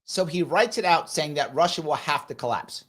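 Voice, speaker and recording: A man's voice: a little high, lively intonation, normal speed, a bit bright. A man hosting a live talk show, reading viewer comments aloud and arguing his points emphatically, often tagging "right?" onto his sentences. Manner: emphatic, conversational, repeats himself, frequent "right?". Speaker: host.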